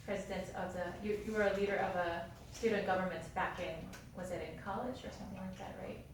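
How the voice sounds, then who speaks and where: A woman's voice: medium pitch, fairly even intonation, quick pace, background noise. A woman lecturer leading a classroom discussion, encouraging students and asking follow-up questions. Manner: encouraging, asks follow-up questions, laughs lightly. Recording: echoey classroom from far off.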